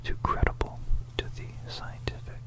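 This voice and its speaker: An ASMR host narrating an educational piece in a hushed, breathy, unvoiced whisper, unhurried and conspiratorial, close to a stereo microphone. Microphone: close stereo binaural microphone.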